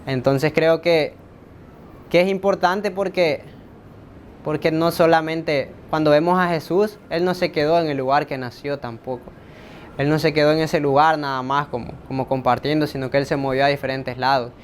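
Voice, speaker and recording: A man's voice: fairly even intonation, normal speed. A man with a Salvadoran accent giving a casual, reflective personal testimony in an interview, repeating words as he thinks. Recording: faint low rumbling background noise.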